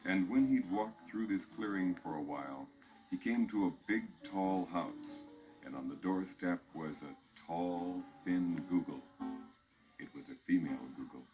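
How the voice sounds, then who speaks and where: A man narrating a children's storybook record in a measured storytelling way. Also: low-pitched, music in the background, rough recording.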